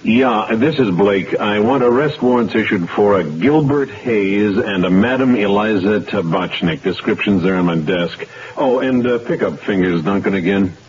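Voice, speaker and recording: An actor playing a tough, unflappable police detective who speaks curtly and dryly. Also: male voice, fairly low pitch, normal speed, breathy edge. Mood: commanding. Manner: curt, dry, commanding. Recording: dull old radio broadcast.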